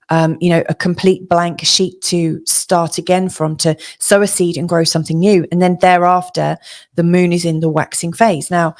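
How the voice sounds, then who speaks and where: Gravelly, rambling woman astrologer. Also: fairly low pitch, darkish tone. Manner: rambling, many fillers, reflective. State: voice going hoarse. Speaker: astrology forecaster. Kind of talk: solo forecast talk to camera.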